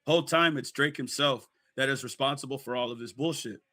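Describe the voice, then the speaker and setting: A man talks in a mid-pitched, forceful voice that sounds a little hoarse. He delivers casual, slang-filled opinion commentary for an online video. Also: a little fast.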